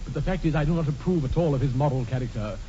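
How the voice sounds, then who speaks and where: Actor as a brisk, starchy English gentleman. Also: on the high side, lightly acted. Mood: firm, indignant. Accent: upper-class English. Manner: formal, clipped, precise. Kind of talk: 1940s radio comedy acting. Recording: dull, noisy 1940s radio broadcast.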